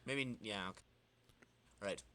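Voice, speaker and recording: A man's voice: on the low side, slow, noisy background. A man hosting a comedy podcast, bantering casually during a dramatic reading. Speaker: podcast host.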